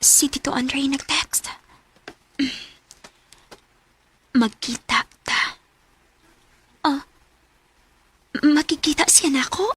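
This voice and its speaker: A high, bright young woman's voice with a Cebuano Filipino accent. She is lovestruck and bold, speaking with earnest, open emotion in the style of a radio romance drama. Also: slow, slight background noise. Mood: dreamy, hushed.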